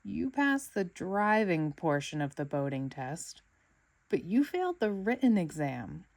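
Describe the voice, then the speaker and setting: A woman voicing a stern, official male cartoon police officer in a storybook, deliberate and matter-of-fact. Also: on the low side, big pitch swings, vocal fry, darkish tone, dry recording, heavily acted.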